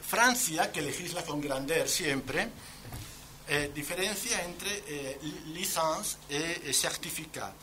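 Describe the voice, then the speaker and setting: A man's voice: fairly high pitch, slow pace, background noise. A man with an accent from Spain giving a conference lecture as a guest speaker, with wry, ironic asides. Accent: Spanish from Spain. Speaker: guest speaker, antiquarian bookseller. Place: lecture event at a university.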